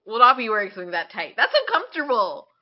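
A woman in her twenties with a highish, very expressive voice chats as a podcast co-host. She is candid and informal, telling anecdotes as if to a close friend. The audio is slightly dull and lo-fi. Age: 20s. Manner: candid, informal, swearing, quick to laugh. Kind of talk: casual chat with a friend, swapping anecdotes.

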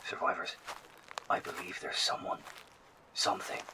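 An actor playing an anxious, scholarly survivor who broadcasts earnest, hesitant field reports in an audio drama. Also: male voice, moderate pace, sharp tone. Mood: uneasy, alert. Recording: clearly noisy field transmission.

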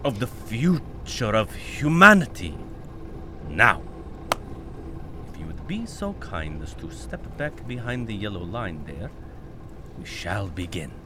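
Slow, grandiose male scientist. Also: lowish voice, very animated, very noisy. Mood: confident, grand. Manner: formal, deliberate, grandly pronouncing. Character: confident older male lead scientist, courteous and grandiose. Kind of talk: audio drama acting.

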